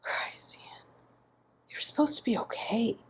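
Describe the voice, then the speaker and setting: A man's voice: unhurried, in a whisper, close to an everyday voice. A woman voicing an angry, resentful, foul-mouthed man who snaps and swears in a narrated story. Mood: exasperated. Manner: snapping, profane, forceful.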